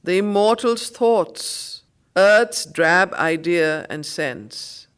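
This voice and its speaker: A woman reciting and explaining spiritual poetry as a study circle teacher, in a reverent, deliberate, emphatic way. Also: lowish voice, lively intonation, clear, resonant tone. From India.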